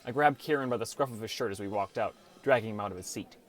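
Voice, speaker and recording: A man's voice: lowish voice, fast. A man reading a scripted first-person story as an audiobook narrator.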